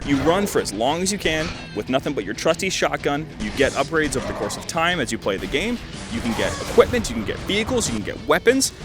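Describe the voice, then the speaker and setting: A young man giving a casual, conversational game review, rambling loosely with wry deadpan asides. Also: medium pitch. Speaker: gaming video host. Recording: loud music behind the voice. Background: music.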